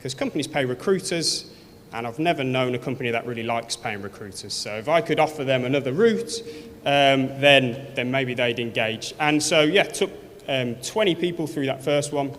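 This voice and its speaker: A man's voice: medium pitch, moderate pace, fairly bright tone, slight background noise. A man from Birmingham with a Birmingham accent, speaking earnestly at an awards event, with many fillers and restarts. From Birmingham, England. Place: large event hall. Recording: long hall reverb.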